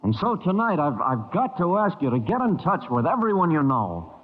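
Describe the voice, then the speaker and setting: A man's voice: a little high, huge pitch swings, moderate pace. An actor playing an earnest, harried meeting chairman in a vintage radio drama, speaking formally in pleading oratory. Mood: earnest, disappointed. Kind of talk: 1950s radio drama acting. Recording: tinny old radio broadcast, long hall reverb.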